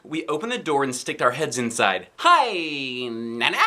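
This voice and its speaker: A man reading a children's book aloud as a dad, with playful, expressive, theatrical delivery. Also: medium pitch, a little fast. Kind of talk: animated children's storybook narration.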